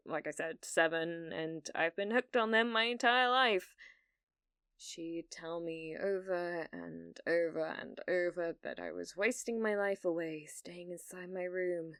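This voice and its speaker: A British woman playing a needy, delusional young gamer in an ASMR roleplay, hesitant and stammering, blithely casual about dark things. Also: fairly low pitch, smooth, no room sound, studio quality. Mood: wistful, darkening.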